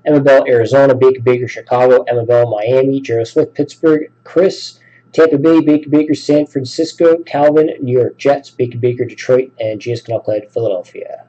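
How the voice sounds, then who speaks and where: A man hosting a sports card break, a bit sick with a raspy voice, listing names and teams and chatting casually. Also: mid-range voice, steady tone, fairly quick, warm tone, highs cut off. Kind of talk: live card break commentary.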